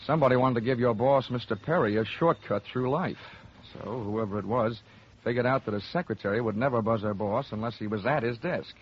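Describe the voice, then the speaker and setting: An actor playing a dry, wisecracking insurance investigator in an old-time radio detective show, on a muffled, noisy recording. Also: male voice, mid-range voice, brisk, husky, natural voice. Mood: brisk, wry. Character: wisecracking hard-boiled male insurance investigator, brisk and dry.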